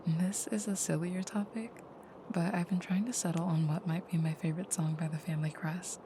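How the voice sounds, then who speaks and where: A woman playing a tender, soft-spoken vampire girlfriend in an intimate audio roleplay, with a breathy near-whisper and gentle, slightly formal phrasing. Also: lowish voice, fairly even intonation, fairly quick, background noise, natural voice. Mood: tender, soothing.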